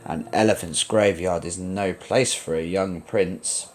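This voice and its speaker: A man's voice: sits low, fairly even intonation, measured pace, a little mellow, pitched below the performer's own voice. A man voicing a sly, devious lion uncle, an insinuating storybook villain.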